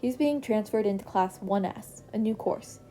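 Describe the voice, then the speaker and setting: A woman's voice: medium pitch, normal speed, dark tone, soft background music, lightly acted. A woman voicing a weary, matter-of-fact male homeroom teacher in a fan story reading. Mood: dry.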